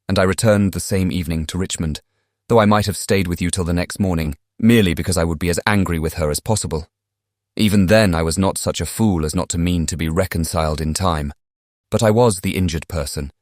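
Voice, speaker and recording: A man with a British accent playing a contrite, impassioned young English gentleman reading out his letter in formal period language for a dramatized audiobook. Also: deep voice, no room sound, clean studio sound, close to an everyday voice. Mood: remorseful. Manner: formal, eloquent period diction. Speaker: audiobook cast reader.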